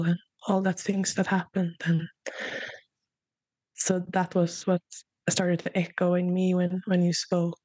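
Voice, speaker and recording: A young woman speaking softly and hesitantly, sharing heartfelt reflections in a conversation. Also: lowish voice, husky, dry recording, poor audio. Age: young adult.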